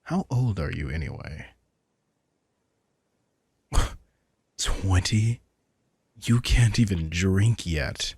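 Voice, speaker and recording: A man's voice: deep voice, expressive, extremely slow, pauses often, darkish tone, husky, exaggerated voice. A man playing a centuries-old vampire in an intimate audio drama, speaking casually and dryly, sardonic and teasing yet protective, with some swearing. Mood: protective, condescending. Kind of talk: intimate roleplay audio drama, conversational acting.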